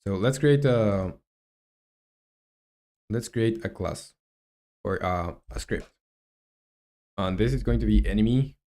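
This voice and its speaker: Male live streamer, native Spanish speaker, lowish pitch, casual and halting delivery, thinking aloud while coding and answering chat. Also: mellow. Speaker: live streamer, game developer.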